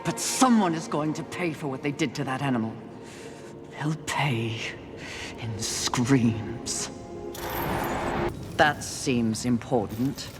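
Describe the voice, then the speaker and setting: A woman's voice: very deep voice, takes it slowly, pauses often, loud music behind the voice. A woman playing a fierce, proud and scornful warrior in a video game, with a haughty, threatening manner. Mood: cold menace. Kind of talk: dramatic video game voice acting.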